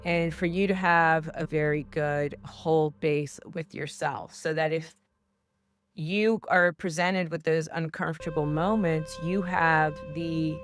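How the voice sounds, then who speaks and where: A woman hosting a spiritual podcast, talking in an earnest, heartfelt coaching tone. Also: low-pitched. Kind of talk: casual spiritual advice talk.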